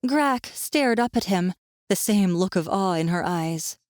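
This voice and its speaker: A female audiobook narrator telling a fantasy story from a script. Her voice sits at an average pitch in a dry, close recording. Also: expressive, unhurried, no echo.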